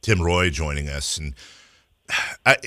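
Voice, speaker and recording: An American man with a deep voice hosts a sports talk show. He puts questions to his guest in a conversational way. The recording is dry and free of room sound. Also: measured pace, sharp tone, dry recording. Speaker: sports radio host. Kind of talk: conversational interviewing.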